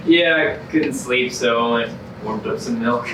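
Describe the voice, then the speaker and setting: A woman's voice: very deep voice, slow pace. A woman playing an easygoing contestant comforting a friend in a soothing way in a stage comedy. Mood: reassuring. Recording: distant echoey stage recording, clear noise.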